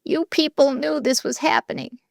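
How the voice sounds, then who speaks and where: A wounded schoolteacher whose voice shakes and nearly chokes on her words, as a woman reads her out. She speaks high above a plain reading voice. The audiobook sound is dry and close. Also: lively intonation, moderate pace, fairly bright tone, dry recording. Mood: hurt, shaky. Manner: trembling, near tears. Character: hurt, shaken middle-aged female schoolteacher. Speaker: teacher.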